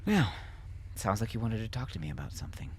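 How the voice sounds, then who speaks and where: A man acts out a weary father in an audiobook. He explains things carefully and haltingly, trying to soothe. His pitch rises and falls a great deal. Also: big pitch swings, a little hiss or hum. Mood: quiet, weary. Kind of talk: audiobook character acting.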